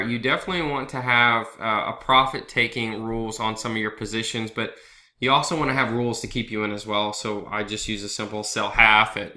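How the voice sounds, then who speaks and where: A man with a head cold hosts his own trading video blog and talks through stock charts in a loose, chatty way, tossing in "right?" as he goes. His voice is bright and forward, and he sounds congested and sniffly. The room behind him is echoey. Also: lowish voice. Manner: conversational, loose, with fillers and asides like "right?". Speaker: trader hosting a market analysis video blog. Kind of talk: casual chart walkthrough over a screen recording.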